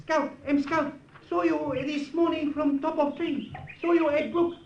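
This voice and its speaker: An actor playing a blunt, suspicious native jungle scout who speaks broken English in short phrases in an old radio drama. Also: male voice, very high voice, flat delivery, unhurried, gravelly, darkish tone, exaggerated voice. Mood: wary, friendly. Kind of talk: 1950s radio drama acting. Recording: roomy, dull, noisy old radio recording.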